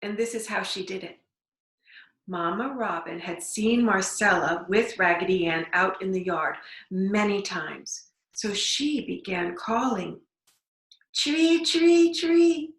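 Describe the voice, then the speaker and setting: A woman reading a storybook aloud to young children, deliberately, with simple explanatory asides. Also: mid-range voice, a bit bright. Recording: echoey room.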